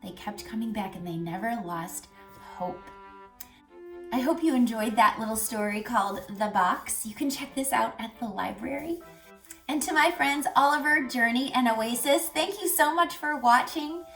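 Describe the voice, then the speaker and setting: A woman reading a picture book aloud for children, animated, pausing to ask questions and dropping to hushed tones. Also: on the high side. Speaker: read-aloud storyteller for children. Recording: roomy echo, distant mic, music behind.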